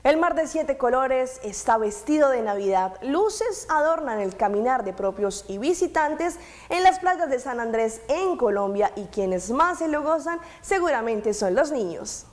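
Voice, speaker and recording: A woman's voice: high-pitched, lively intonation, a little slow. A woman presenting scripted television news as host and reporter.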